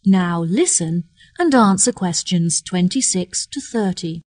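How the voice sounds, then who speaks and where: A woman reading scripted exam instructions in a measured, formal, carefully enunciated manner. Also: on the low side, expressive, darkish tone, no room sound, clean studio sound. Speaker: exam announcer.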